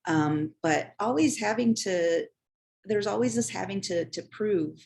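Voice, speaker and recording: A middle-aged woman from Chicago, speaking on a panel about her upbringing in a halting way, with many pauses and fillers. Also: medium pitch, gravelly, a little mellow. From Chicago, United States. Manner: halting, many "um" and "you know". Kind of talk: personal story on a panel.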